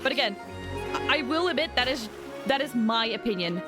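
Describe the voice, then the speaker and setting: A woman livestreaming a video game, with a fairly high voice that swings up and down. She chats informally and rambles along conversationally, with game music clearly playing behind her. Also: lively intonation, fairly quick. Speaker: live streamer playing a game. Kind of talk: casual chat with viewers.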